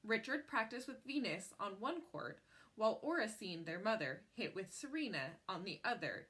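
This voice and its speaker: A woman reading a picture book aloud in an expressive storytelling style, phrasing in a measured way and leaning on key words. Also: medium pitch, husky.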